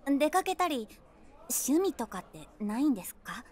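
An eager young woman trying to charm her date in a comic audio drama. Her voice is high and cutesy. She talks politely, keen to draw the other person out. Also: very animated, normal speed, a little mellow. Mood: eager, probing. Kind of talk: comedy audio drama dialogue.

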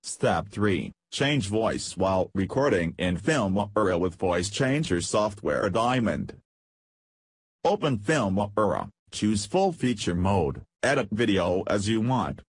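Husky, low-voiced man narrating a tutorial. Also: big pitch swings, slow pace, dry recording. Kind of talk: scripted software tutorial narration.

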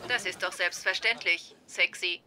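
A woman's voice: lowish voice, normal speed, close to an everyday voice. A woman playing a polite, formal, literal-minded phone assistant in a dubbed sitcom. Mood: polite, obliging. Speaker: voice actor in a sitcom dub. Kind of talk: German-dubbed sitcom dialogue. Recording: noisy sitcom soundtrack with clicks.

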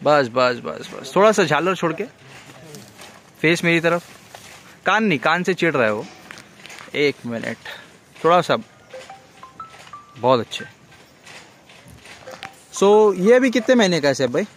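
Guy vlogging at a goat farm at night, bit high voice, lots of hmm haan, faint hiss behind. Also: sing-song, a little slow, pauses often, fairly bright tone. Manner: chatty, prompting with frequent hmm and haan. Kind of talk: casual on-camera interview tour.

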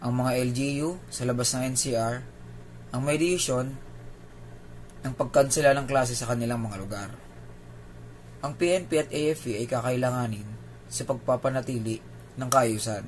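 A man's voice: mid-range voice, slight background noise. A man with a Filipino accent hosting a video, reading a scripted news explainer. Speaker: video host.

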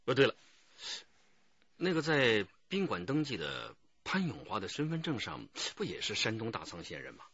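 An actor playing a brisk, decisive police detective chief in a Chinese radio drama, with a husky voice. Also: male voice, lowish voice, huge pitch swings, sharp tone, dry recording, muffled recording, heavily acted. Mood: probing.

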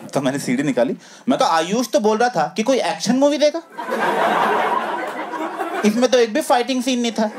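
Young comedian telling a story on stage, voice swinging all over, handheld mic, loud crowd laughing over it. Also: male voice, animated, normal speed, long pauses, very noisy. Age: young adult. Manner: acts out scenes, drops low for asides then jumps high. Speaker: stand-up comedian. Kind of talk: stand-up storytelling. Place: comedy club stage, indoors. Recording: live club audio with loud audience laughter.

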